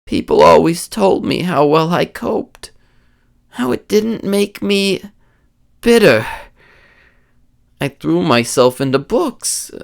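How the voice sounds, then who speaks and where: A woman's voice: on the low side, lively intonation, slow, gravelly, pauses often, darkish tone, full character voice. A woman playing a traumatized, bullied young law student with bitter defiance, speaking earnestly and emotionally in a comic dub. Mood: bitter, confessional. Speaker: voice actor. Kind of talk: dramatic comic dub acting.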